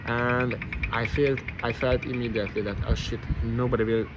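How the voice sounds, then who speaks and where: A man's voice: medium pitch, level tone, normal speed, highs cut off. A man with a Hungarian accent, a non-native English speaker, thinking aloud in a rambling, reflective vlog, often stopping and restarting as he searches for words. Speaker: vlogger. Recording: noisy background with passing vehicles.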